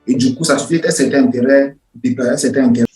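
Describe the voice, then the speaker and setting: A man's voice: mid-range voice, steady tone, fast, dark tone. A man, an English speaker, giving an informal lecture in an emphatic, repetitive way with rhetorical questions. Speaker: online course instructor. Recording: roomy echo with music behind.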